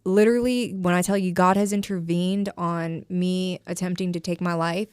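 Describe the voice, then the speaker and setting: A woman's voice: lowish voice, steady tone, normal speed, clean tone, no echo. A woman in her thirties telling her story frankly as a podcast guest, rambling with lots of "like". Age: 30s. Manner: frank, rambling, heavy use of filler "like". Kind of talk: candid personal storytelling.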